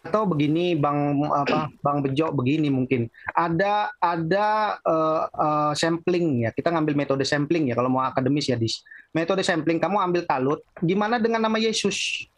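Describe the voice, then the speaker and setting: Guy with a slightly high voice, keeps pressing questions, dry sound. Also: normal speed, no echo. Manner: probing, challenging. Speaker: debater. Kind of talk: online debate.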